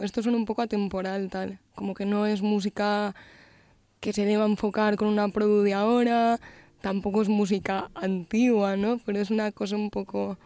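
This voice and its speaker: A young woman from Galicia with a light Galician accent, a musician guest talking in a relaxed, thoughtful way with many fillers in a radio studio. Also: medium pitch, dry recording. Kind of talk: radio interview.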